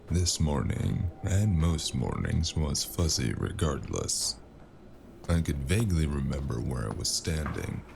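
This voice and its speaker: A man playing a weary, wisecracking noir investigator in an audio drama, dry and sardonic, with a gravelly voice and an unhurried delivery. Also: very deep voice, noisy background, heavily acted. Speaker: narrator and lead character.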